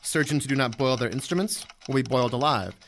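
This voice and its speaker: Audio drama casting: male actor as stern, harsh officer, mid-pitched, light background noise. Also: fast talker, close to an everyday voice.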